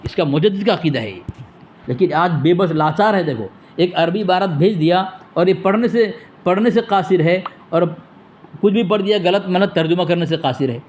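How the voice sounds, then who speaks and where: Male debater, high-pitched voice, scolding and combative, hammers points through repetition. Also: normal speed, darkish tone. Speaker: religious debater and commentator. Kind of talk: heated phone debate and polemic to listeners.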